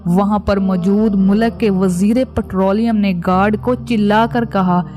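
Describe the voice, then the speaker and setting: A woman's voice: mid-range voice, steady tone, measured pace, mellow. A woman reading scripted documentary narration as the narrator of a biographical history piece. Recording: background music under voice. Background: music.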